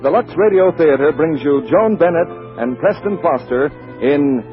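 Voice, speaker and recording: A man's voice: fairly high pitch, lively intonation, normal speed. American male announcer, vintage radio style, big projected delivery, music underneath, muffled band-limited sound. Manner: projected, declamatory. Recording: muffled 1940s radio recording. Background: theme music.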